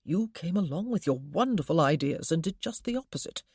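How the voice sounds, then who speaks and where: A woman's voice: deep voice, animated, a little fast, darkish tone, slightly rough, dry recording, studio quality, heavily acted. A woman voicing a devoted young husband in a storybook, speaking emphatically and earnestly.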